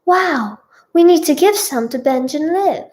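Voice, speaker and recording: A woman's voice: high voice, expressive, measured pace, darkish tone, voice pushed up. A woman voicing a bewildered, cautious young man in a story, with a questioning manner. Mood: amazed.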